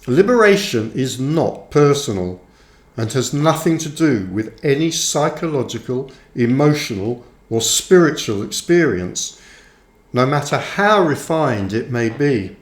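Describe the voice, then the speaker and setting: A man narrates a book in a slow, measured way, placing each phrase deliberately. His voice sits at an average male pitch. The room around him adds a clear echo to the reading. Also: lively intonation. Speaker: author reading own book aloud. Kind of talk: audiobook-style scripted narration. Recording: roomy, echoing sound.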